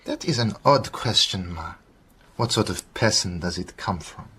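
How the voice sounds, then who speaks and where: An actor playing a genial, cautious doctor in a radio drama, speaking in a courteous, measured way with a Southern African accent. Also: male voice, on the low side, animated, pushed and bright, a little hiss or hum. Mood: thoughtful, precise. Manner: courteous, measured, precise phrasing.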